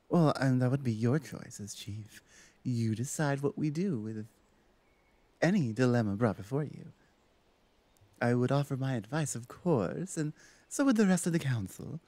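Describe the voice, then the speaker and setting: Woman acting a playful orc shaman, low mellow voice. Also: no echo, heavily acted. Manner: chatty, playful, hesitant asides. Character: teasing, devoted female orc shaman. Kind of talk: ASMR roleplay, intimate one-sided conversation.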